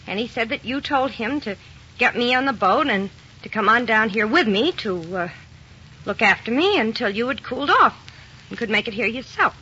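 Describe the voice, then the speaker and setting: High-voiced, pleading gangster's wife. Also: female voice, lively intonation, normal speed, pushed and bright, husky, heavily acted. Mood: anxious explaining. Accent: American. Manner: pleading, insistent, slangy. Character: frightened gangster's wife pleading her innocence. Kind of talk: 1940s radio crime drama acting. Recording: old muffled radio recording, faint noise.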